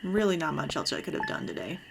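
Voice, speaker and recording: Low-pitched woman narrating a game. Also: very animated, fast talker, creaky, background noise. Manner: explanatory, chatty. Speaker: gaming video host. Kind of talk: casual let's play commentary. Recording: background music under the voice. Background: game music.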